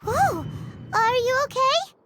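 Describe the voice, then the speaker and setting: A woman voicing a small, excitable, fretful fairy girl companion in an anime video game, with a squeaky childlike voice and exclamatory delivery. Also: slow, heavily acted. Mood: surprised, confused. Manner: refers to self in third person, exclamatory. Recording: loud music behind the voice. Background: music.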